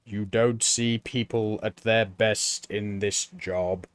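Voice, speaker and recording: A man voicing Death, a grim skeletal figure, in an audiobook reading with slow, measured, deliberate delivery. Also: on the low side, steady tone. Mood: matter-of-fact. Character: grim skeletal male Death, calm and dry.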